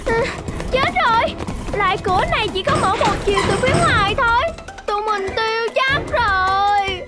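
Very high, worrying cartoon youngster. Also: moderate pace. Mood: worried. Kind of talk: animated children's cartoon acting. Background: background music.

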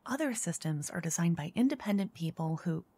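A woman doing a soft-spoken ASMR roleplay as a flirty dungeon master. She teases, then whispers close into each ear in turn. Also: lowish voice, animated, fairly quick, slightly rough. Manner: teasing, flirty, switching between whispers and soft speech. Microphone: close binaural mic.

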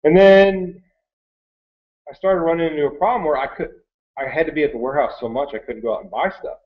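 A man's voice: on the high side, a little fast. A man in his thirties with an American accent, telling his story in a rambling, casual, conversational way. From Michigan, United States. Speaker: online reseller sharing his story. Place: small cluttered workroom indoors. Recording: thin phone-like webcam audio.

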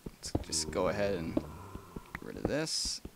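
Young man, gaming commentary, rambling, lots of ums, low-ish voice, noisy home mic with clicks. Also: big pitch swings, unhurried. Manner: rambling and chatty, many ums, gasps and laughs while playing. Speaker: gaming channel host. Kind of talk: casual let's play commentary.

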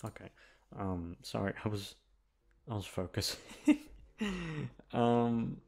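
A man's voice: on the low side, steady tone, takes it slowly, vocal fry, darkish tone. A man chatting casually to his listeners as an ASMR creator, rambling hesitantly with self-deprecating swearing. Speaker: ASMR audio creator and host.

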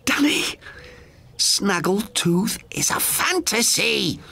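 A woman's voice: mid-range voice, big pitch swings, slow pace, creaky, pushed and bright, husky, voice pushed up, full character voice. A man voicing an anxious older woman who pleads with her brother in a storybook reading. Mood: pleading.

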